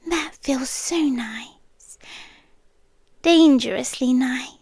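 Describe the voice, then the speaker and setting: A young British woman speaks in a high, soft voice, drawing out every phrase very slowly. Much of it is whispered, intimate and close to the microphone. The recording is dry, with no room sound. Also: slightly rough, dry recording. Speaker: ASMR roleplay performer. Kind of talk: scripted ASMR girlfriend roleplay.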